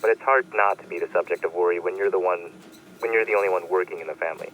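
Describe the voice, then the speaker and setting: A man's voice: low voice, fast, a bit bright, a little hiss or hum, low-quality recording. A man playing a self-important, complaining teenage boy recording an audio diary in a radio drama. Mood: indignant.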